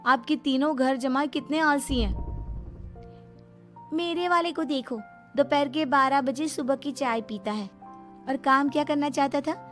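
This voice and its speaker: A young married daughter in a cartoon story, put on by a woman narrator. Her high voice is animated and whiny as she grumbles about a lazy husband. Soft music runs behind her. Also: a little slow. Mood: grumbling.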